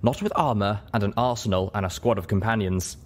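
A man's voice: on the low side, brisk, natural voice. A man voicing an elderly female pony commander who is stern, scornful and blunt, in a storybook reading. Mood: stern warning.

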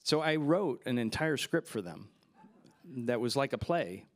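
A man's voice: medium pitch, a little slow. A man in his forties, a filmmaker guest at a festival Q&A, telling rambling anecdotes into a handheld mic. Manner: relaxed, rambling anecdotes with fillers and laughs. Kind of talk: festival Q&A storytelling. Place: festival stage in front of a backdrop.

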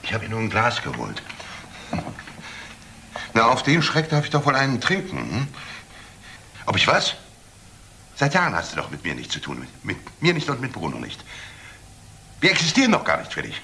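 An actor playing a defensive, resentful middle-aged alcoholic in a crime drama, halting and repeating himself. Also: male voice, lowish voice, huge pitch swings, gravelly, bright, forceful tone, slight background noise, exaggerated voice. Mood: startled, then bitter, resentful. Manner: halting, repetitive, agitated. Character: defensive, resentful alcoholic brother, weak-willed. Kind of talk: German crime drama acting.

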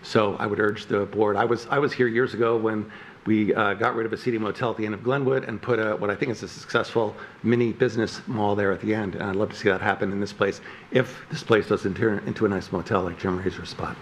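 A man's voice: on the low side, steady tone, fast, a little hiss or hum. A middle-aged man speaking at a podium microphone in a council chamber, earnestly making critical points with frequent uh and um fillers. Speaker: resident giving public comment. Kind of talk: public comment at a zoning meeting.

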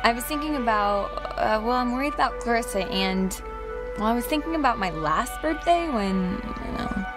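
A woman's voice: on the high side, expressive, moderate pace, lightly acted. A young American woman voicing a shy, apologetic teenage girl in a video game, hesitant and often trailing off. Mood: apologetic, wistful. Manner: hesitant, trailing off, self-correcting. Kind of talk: naturalistic conversational video game acting. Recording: game soundtrack music under the voice. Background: music.

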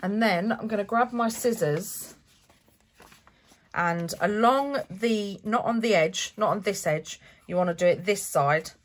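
A woman, stuffy from a cold, chatting her way step by step through a relaxed craft tutorial at a home desk. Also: mid-range voice, a little slow. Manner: chatty, self-correcting step-by-step explaining. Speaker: craft channel host.

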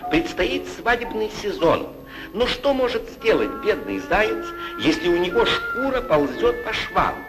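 A man's voice: high-pitched, big pitch swings, a little slow, exaggerated voice, rough recording. A man reading mock newspaper announcements in a declamatory announcer style. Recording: old 1940s film soundtrack with music.

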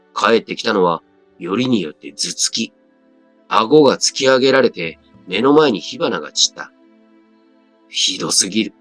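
A man's voice: fairly low pitch, dry recording. A man reading a scripted first-person story as a dramatic narrator. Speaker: story reader. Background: background music.

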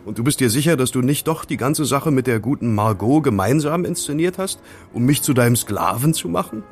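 An actor playing a casual amateur sleuth who recounts intrigue in a wry, conversational way in an audio drama. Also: male voice, medium pitch, expressive, brisk, faint music behind, lightly acted. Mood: wry, teasing. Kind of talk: German audio drama dialogue.

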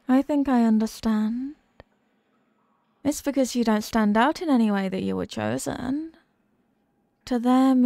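A woman's voice: a little high, gravelly, warm tone, no echo, clean studio sound, full character voice. A woman voicing a condescending, sadistic and possessive demon queen who mocks the human listener in a scripted ASMR roleplay. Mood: mocking. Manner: condescending, mocking, deliberate and teasing.

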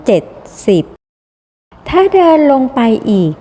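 A woman's voice: slow pace, mellow. A woman reading a scripted children's story in simple phrasing for small children, in a reverberant recording. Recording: long reverberant hall echo.

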